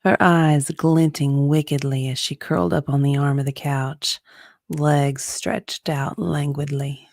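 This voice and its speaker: A woman's voice: sits low, steady tone, measured pace, darkish tone, no echo, clean studio sound. A woman narrating a romance story in a sultry, dramatic style.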